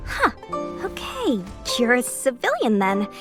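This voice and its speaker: A high-voiced young knight girl from a game cutscene, earnest and forthright. Her delivery swings with lively pitch, and music plays clearly behind her. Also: a little slow. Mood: earnest, brisk. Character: eager, brisk young woman knight soldier. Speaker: soldier. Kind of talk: video game cutscene acting.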